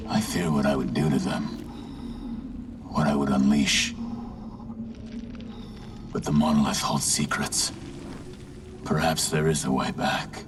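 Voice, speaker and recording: A cryptic, solemn wanderer from a sci-fi game, with an extremely deep voice. He speaks slowly and formally, full of portent. A loud rumbling ambience surrounds him. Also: background noise. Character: ominous, cryptic altered male wanderer, solemn and knowing. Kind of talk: video game cutscene acting.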